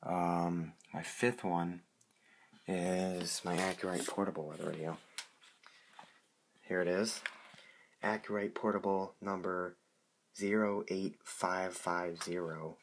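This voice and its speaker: Casual hobbyist show-and-tell: man, low flat voice, rambling and repetitive, faint noise on an amateur recording. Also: slow pace, long pauses. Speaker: hobbyist showing a weather radio collection.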